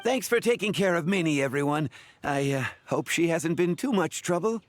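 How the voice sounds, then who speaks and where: A man's voice: fairly high pitch, animated, normal speed, close to an everyday voice. A man acting as an easygoing, nagging older brother in a video game, talking in a casual, awkwardly friendly way. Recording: background music under the dialogue.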